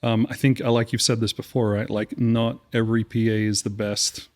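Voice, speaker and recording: A man's voice: fairly low pitch, flat delivery, brisk. Man explaining things in casual podcast talk. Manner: explanatory, conversational, peppers points with "right?". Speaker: podcast co-host, audio system designer.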